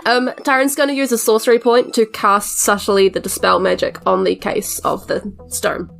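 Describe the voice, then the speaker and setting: A woman's voice: medium pitch, fairly even intonation, normal speed, gravelly, a bit bright, faint music behind. A woman playing a tabletop game, talking casually about her moves.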